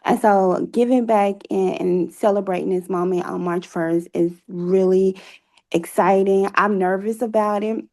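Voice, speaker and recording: A woman's voice: mid-range voice, steady tone, measured pace, dark tone. An American woman speaking as an interview guest in an earnest, encouraging, motivational way. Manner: encouraging, faith-filled. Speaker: guest, stage play cast member and organizer.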